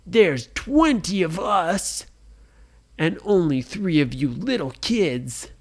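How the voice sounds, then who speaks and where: A storyteller voicing a gruff male pirate guard who yells and taunts loudly. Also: medium pitch, expressive, pitched above the performer's own voice. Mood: taunting. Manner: loud yelling, drawn-out taunts.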